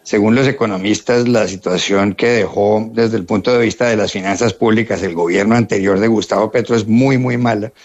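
A man's voice: lowish voice, fairly even intonation, fairly quick, hardly any pauses, a little mellow, muffled recording. A Colombian man, a journalist guest on a radio interview, who speaks with many hesitations and repeated words.

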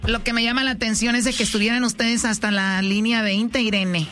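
A man's voice: high-pitched, level tone, fairly quick, sharp tone, soft background music. A man with a Mexican accent hosting a radio call-in show, bantering casually in a teasing, mock-scolding way. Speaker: radio host.

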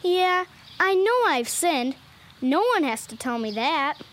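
Woman playing a very high-voiced confident country girl. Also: animated, slow, fairly bright tone, a little hiss or hum, full character voice. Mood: doubtful, independent. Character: confident, self-reliant young country girl who rides horses.

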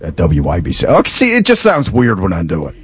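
Low-voiced, swooping male traffic reporter. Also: huge pitch swings, fast, husky, faint music behind. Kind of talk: casual morning radio banter.

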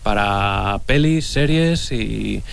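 A man from Bilbao, an audience contestant on a radio quiz, answering in a relaxed, matter-of-fact way. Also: on the low side, drawn-out words, creaky, a little hiss or hum. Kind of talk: casual chat.

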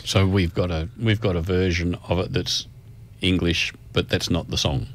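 A male interviewer with a low voice talks casually. He puts questions and clarifications to his guest in plain conversational speech. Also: level tone, normal speed.

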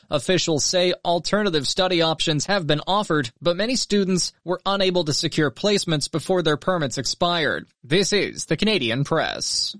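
A man reading a radio news bulletin in a brisk, even newsreading delivery. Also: on the high side, no room sound, studio quality.

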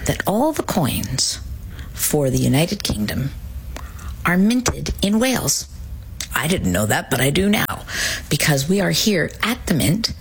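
A woman's voice: low voice, very animated, measured pace, slight background noise, low-quality recording. A woman hosting a travel show, narrating her visits in a conversational, curious way. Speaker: travel podcast host.

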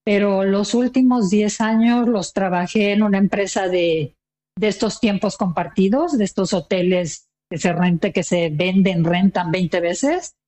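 An older woman with a Mexican accent, chatting as an interview guest in a lively, proud way and laughing easily. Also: medium pitch, a little slow. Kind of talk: casual conversation.

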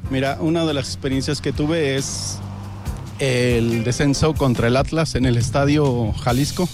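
A man's voice: mid-range voice, a little slow, over music. A man with a Mexican accent giving casual interview answers as a football fan. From Querétaro, Mexico.

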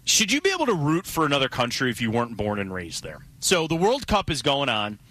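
A man's voice: mid-range voice, wildly swooping pitch, brisk, bright, forceful tone, no room sound. A man from Cleveland with an American accent, hosting a casual, conversational sports talk radio show. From Cleveland, Ohio, USA.

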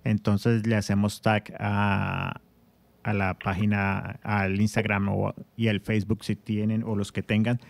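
A man hosting a radio interview, relaxed and hesitant, thinking aloud with fillers. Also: lowish voice, unhurried, vocal fry, no room sound. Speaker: radio host. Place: radio studio.

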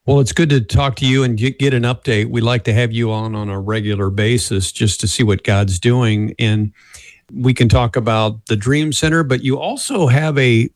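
A man hosting a radio interview, friendly and a little halting, with frequent restarts. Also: medium pitch, steady tone, no echo. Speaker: radio host.

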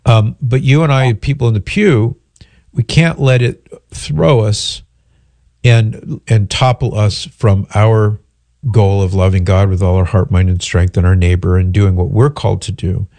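Nasal low-voiced male radio host. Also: fairly even intonation, mellow, no room sound. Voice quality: slightly nasal. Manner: measured, explanatory, with frequent ums and restarts. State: head cold. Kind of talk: call-in radio answering listener questions. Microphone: studio microphone.

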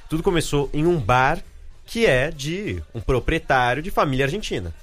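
An animated man reporting live from the field, his voice fairly high and swinging widely in pitch. Faint music sits behind him. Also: normal speed.

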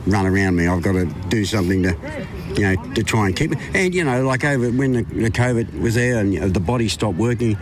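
A man's voice: on the low side, expressive, fast talker, background noise. A man from Australia, a guest in a casual interview, talking in a rambling, hesitant way with many fillers.

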